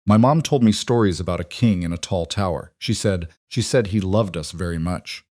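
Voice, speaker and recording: A man's voice: low voice, normal speed, a little mellow, no echo, clean studio sound, natural voice. A man voicing a frightened, wondering little boy in an audio story, hesitant and fragile. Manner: tiny, fragile, whispery, hesitant.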